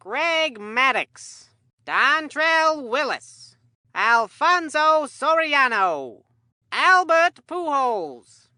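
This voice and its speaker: Corny, food-mad kid sports commentator for a video game; unhurried, hammy announcer delivery, very dry sound. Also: high-pitched, bright, forceful tone, dry recording, full character voice. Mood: announcing names.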